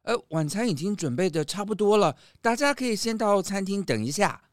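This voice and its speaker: Man playing a kindly butler, very lively intonation. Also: sits high, brisk, no echo, clean studio sound. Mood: genial. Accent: Taiwanese. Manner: courteous, genial. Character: kindly, eager-to-please male resort butler. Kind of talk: children's audio drama.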